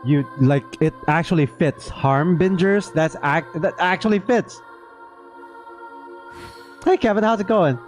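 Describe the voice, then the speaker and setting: A man's voice: a little high, a little slow, long pauses, faint music behind. A man doing casual live game reaction commentary, chatting playfully with his viewers. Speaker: game streamer.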